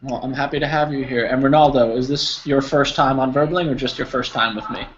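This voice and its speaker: A man's voice: mid-range voice, steady tone, brisk, a bit bright. An American man teaching an online English pronunciation class, using simple wording and checking with his learners. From United States, New Jersey. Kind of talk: live online group pronunciation lesson. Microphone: video call, close to computer. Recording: dull lo-fi online call, clear noise.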